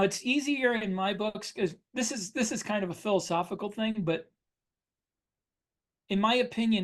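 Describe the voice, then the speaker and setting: A middle-aged man with a notably high-pitched voice for a male speaker. He talks casually as a podcast co-host, explaining his thinking and spinning anecdotes in an easy conversational style. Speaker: podcast co-host and science fiction author.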